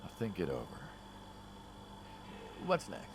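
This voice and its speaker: A man's voice: low-pitched, wildly swooping pitch, takes it slowly, gravelly, slight background noise, heavily acted. An actor playing a wry city hitman and reluctant friend in a game, conversational and a little hesitant. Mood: flustered, coaxing. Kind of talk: naturalistic dramatic game dialogue.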